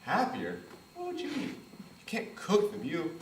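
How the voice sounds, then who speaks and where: A man's voice: sits high, wildly swooping pitch, a little slow, exaggerated voice. An actor playing a proud, passionate young Italian immigrant man, speaking with an Italian accent and swinging from tender murmurs to impassioned outbursts. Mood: earnest. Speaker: stage actor. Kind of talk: stage play performance. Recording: roomy, distant mic, faint noise.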